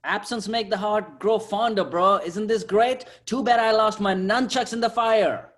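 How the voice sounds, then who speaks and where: Man playing a cocky, high-voiced young sidekick. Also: unhurried, a bit bright. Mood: upbeat swagger. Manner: slangy, bantering. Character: cocky, brash young male delivery sidekick. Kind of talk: amateur screenplay table read.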